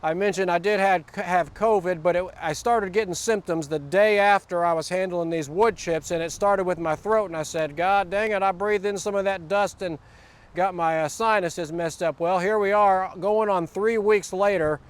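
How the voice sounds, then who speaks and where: A man's voice: high voice, moderate pace, clean tone. A man with a Southern US accent and a sore throat, rambling through a casual outdoor vlog with many uhs and restarts. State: sore throat, voice not back. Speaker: vlogger. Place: outdoors, windy wood lot.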